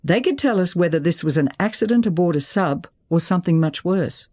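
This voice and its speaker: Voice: mid-range voice, animated, a little fast, a little mellow, close to an everyday voice. A narrator plays a brusque woman official in an audiobook thriller. She is decisive and urgent, firing questions as she takes charge of a crisis.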